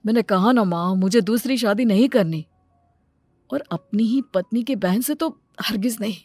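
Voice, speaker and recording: A woman's voice: mid-range voice, moderate pace, a little mellow, no room sound, faint music behind, lightly acted. A woman voicing an earnest, protective young father in a cartoon story. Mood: reluctant.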